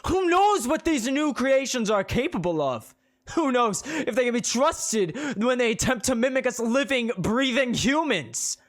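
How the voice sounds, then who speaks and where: A narrator playing a loud, preachy zealot man. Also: mid-range voice, sing-song, pitched above the performer's own voice. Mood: outraged. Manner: loud, slow, preachy declaiming. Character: self-righteous blond man preaching against magic.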